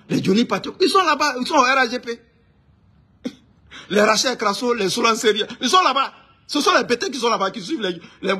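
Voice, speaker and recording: A man from Ivory Coast with an Ivorian accent and a husky voice, delivering an informal, indignant political rant, emphatic and repeating his phrases insistently. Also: high-pitched, pushed and bright. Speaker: online political commentator.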